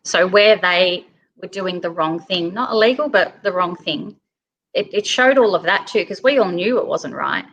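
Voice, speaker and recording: A woman's voice: lowish voice, expressive, moderate pace, a bit bright, dull audio. A woman speaking as a guest in a casual discussion, explaining her points conversationally. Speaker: guest on the show.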